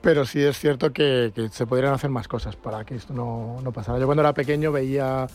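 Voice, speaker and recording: A man's voice: mid-range voice, steady tone, fast talker, a little mellow. A man from La Mancha with a central Spanish accent, talking off the cuff as a panel guest and often correcting himself. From Ciudad Real, La Mancha, Spain. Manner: hesitant, self-correcting. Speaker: financial sales executive, panel guest. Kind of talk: unscripted panel talk.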